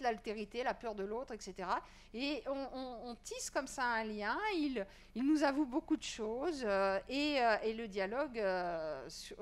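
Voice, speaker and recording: Woman, writer-teacher, earnest, fluent, frequent fillers, panel guest. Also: on the high side. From Iran. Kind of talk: panel discussion answers.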